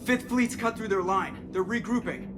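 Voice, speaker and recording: A man's voice: high voice, normal speed, background noise, close to an everyday voice. Man playing a sardonic spaceship pilot. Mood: wry, confident. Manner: sardonic, informal. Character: wisecracking male spaceship pilot, anxious, protective. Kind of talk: video game voice acting.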